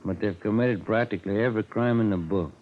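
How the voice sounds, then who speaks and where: An actor playing a matter-of-fact police detective in a clipped 1950s radio drama style. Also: male voice, on the low side, fast talker, lightly acted. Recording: dull, noisy 1950s radio recording.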